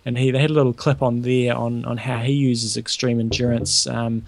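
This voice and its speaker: A man's voice: medium pitch, little pitch movement, moderate pace, creaky, no room sound. A man co-hosting a sports podcast, chatting casually and reading a sponsor spot in a laid-back way. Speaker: podcast co-host. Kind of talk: casual chat and sponsor read.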